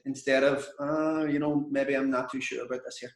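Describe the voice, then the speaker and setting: A man's voice: mid-range voice, little pitch movement, brisk, echoey room. A man with a Belfast accent coaching a business group in a frank, chatty, sweary way. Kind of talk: casual coaching session with students.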